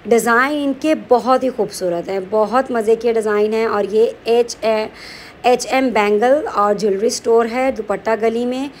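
A woman's voice: fairly high pitch, a little slow, slight background noise. A woman with a Pakistani Urdu accent narrating a shopping vlog in a chatty, upbeat way, mixing in English words. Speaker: vlogger.

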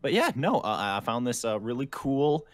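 Young male survivor acted by a man, game roleplay: evasive bumbler, stammers, pitch jumps around. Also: very animated, moderate pace, no echo, close to an everyday voice. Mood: sheepish, upbeat. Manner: stammering, hesitant.

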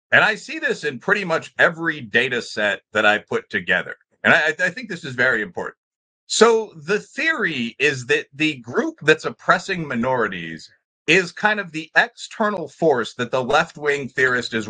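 A middle-aged man speaks as a panelist over a video call. His voice is bright and forceful, with pitch that swings widely as he makes his points. He argues in a discursive, academic way with firm emphasis. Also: poor audio. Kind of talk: extemporaneous panel discussion argument. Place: indoor room with bookshelves, on a video call.

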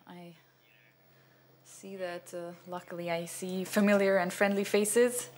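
Older man, halting lecture, fillers, stand mic on a cinema stage. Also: high voice, flat delivery. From Venezuela. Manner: hesitant, many fillers and restarts, gestures broadly. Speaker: cinematographer giving a masterclass. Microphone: stand microphone.

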